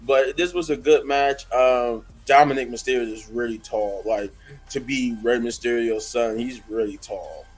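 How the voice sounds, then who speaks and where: A hoarse man giving a casual, rambling wrestling review, full of fillers and restarts. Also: mid-range voice, steady tone, moderate pace, poor audio.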